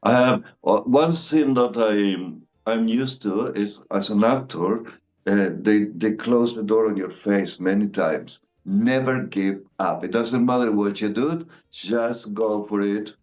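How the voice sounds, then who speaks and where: Low-voiced Argentine man, slightly lo-fi. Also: slow, darkish tone, soft background music. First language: Spanish. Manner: brief, agreeable replies. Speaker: actor guest. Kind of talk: casual interview answers.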